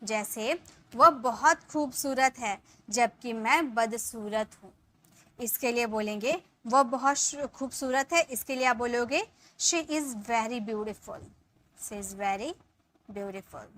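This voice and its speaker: A woman in her thirties gives an English lesson, explaining each point in Hindi. Her voice sits high and sounds bright and clear. She takes her time, saying the English sentences slowly and word by word for her viewers. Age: 30s. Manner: addresses viewers as guys, checks in with "ठीक है". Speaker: English teacher.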